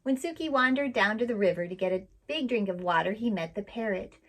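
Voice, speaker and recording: A woman reading a children's picture book aloud, gentle and expressive, now and then asking the listener a question. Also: mid-range voice, fairly quick. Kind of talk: read-aloud storytelling.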